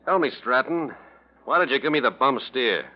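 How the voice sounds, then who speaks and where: An actor playing a brusque, sardonic, suspicious police officer in a 1940s radio drama, talking in tough slang. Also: male voice, mid-range voice, lively intonation, normal speed, natural voice. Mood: matter-of-fact. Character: gruff, streetwise, suspicious male police officer. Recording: muffled, noisy old radio recording.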